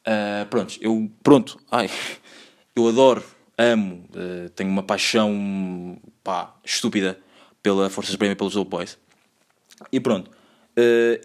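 A young man in his twenties with a Lisbon Portuguese accent hosts a solo podcast. His voice sits a bit low, and he talks in a loose, meandering way, piling up slang and filler words as he goes. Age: 20s. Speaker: podcast host. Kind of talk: solo casual podcast monologue.

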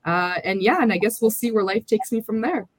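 A woman's voice: medium pitch, brisk, no room sound. An American woman guest, a bit under the weather, talking casually and chattily in an interview over a remote call. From United States. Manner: chatty, upbeat, talkative. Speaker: podcast guest.

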